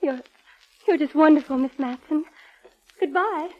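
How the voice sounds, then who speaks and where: A woman's voice: high voice, a little slow, background noise. An actress playing a mousy, anxious young woman, hesitant and pleading, in 1940s radio drama style. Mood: grateful, timid. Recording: muffled vintage radio recording.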